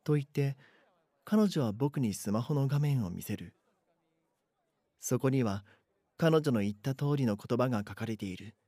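A man's voice: mid-range voice, animated, measured pace, pauses often, mellow, no room sound, clean studio sound. A man playing an anxious schoolboy who narrates an eerie mystery in an audio drama. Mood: uneasy, brooding.

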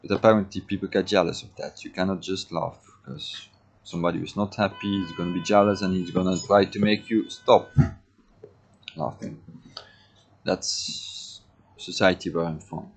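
Low-voiced man, hesitant monologue. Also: steady tone, moderate pace, breathy edge, poor audio. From Belgium (Flanders). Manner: hesitant, many fillers and restarts. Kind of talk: reflective personal monologue.

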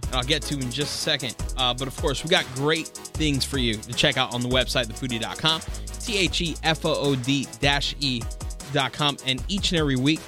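A man's voice: medium pitch, brisk, music in the background. A man hosting a food podcast in a casual, upbeat, promotional way. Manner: upbeat, promotional, sing-song plugs. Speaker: podcast host.

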